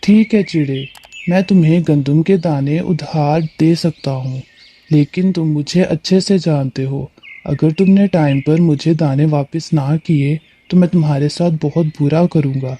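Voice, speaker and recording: A man playing a menacing male crow in a moral story cartoon, speaking in a deliberate, warning manner. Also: fairly high pitch, dark tone. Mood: stern, warning. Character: menacing male crow lending grain on conditions.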